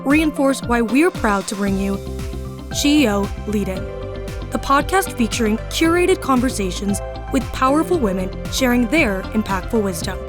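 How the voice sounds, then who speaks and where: A woman's voice: on the high side, expressive, normal speed. Upbeat woman announcer over music. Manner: polished, upbeat promotional delivery. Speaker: sponsor ad announcer. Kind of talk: scripted promo read over music.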